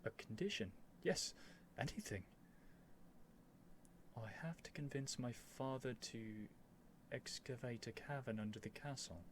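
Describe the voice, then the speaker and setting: A man playing an earnest, nervous young prince devoted to a dragon, in a halting, emotional ASMR roleplay addressed to the listener. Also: mid-range voice, expressive, a little hiss or hum, lightly acted. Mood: stunned, grateful. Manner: halting, stammering, breathy sighs and whispers, emotional.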